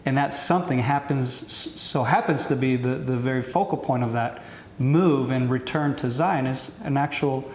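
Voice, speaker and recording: A man with an Israeli accent hosting an interview, whose speech is halting, with many restarts as he searches for words in English. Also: mid-range voice, darkish tone, reverberant, slight background noise. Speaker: podcast host. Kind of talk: interview questions.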